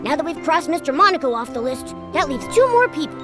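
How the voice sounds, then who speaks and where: Very high-pitched, chirpy little game creature. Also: female voice, very animated, fast talker. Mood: chirpy, eager. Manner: bubbly, eager. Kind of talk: video game voice acting. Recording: music under the voice.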